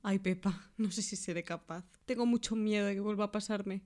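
A woman playing a frightened, grateful man with anxiety in an amateur radio play, loud and melodramatic. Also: medium pitch, fairly even intonation, brisk, close to an everyday voice. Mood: fearful.

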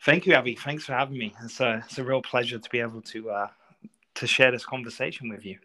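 A man's voice: medium pitch, steady tone, quick pace, sharp tone. A man with a North London English accent, a soccer coach, speaking as a guest in a casual, polite and reflective way, with frequent asides. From North London, England. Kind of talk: casual interview storytelling.

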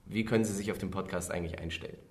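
A young man in his twenties with a fairly low voice leads the conversation. He talks in a friendly, steady presenter's way, casual and unhurried. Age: 20s. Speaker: podcast host. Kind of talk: casual podcast chat.

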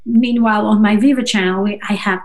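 Halting woman. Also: mid-range voice, fairly even intonation. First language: Russian and Georgian. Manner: halting, searching for words, checks with "right?". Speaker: interview guest, singer-songwriter. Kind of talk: casual conversational interview.

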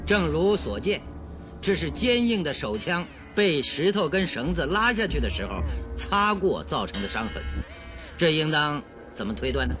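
Confident, theatrical male detective, animated delivery. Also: on the high side, normal speed, music in the background. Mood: triumphant. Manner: theatrical, explanatory, self-assured. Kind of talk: radio drama acting.

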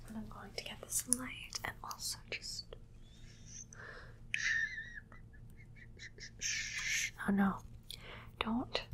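A woman voicing a condescending female alien doctor in a soft whisper, giving matter-of-fact instructions in an ASMR exam roleplay. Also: medium pitch, steady tone, measured pace, close to an everyday voice, rough recording. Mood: clinical, firm. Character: female alien doctor, clinical, condescending, studying human captive.

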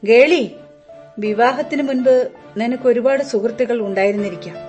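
A woman's voice: on the high side, moderate pace, a little mellow, faint music behind, natural voice. An actress in a Malayalam radio drama playing a worried, disapproving mother-in-law who speaks earnestly and reproachfully in protection of her son. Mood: stern, questioning. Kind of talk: Malayalam radio play acting.

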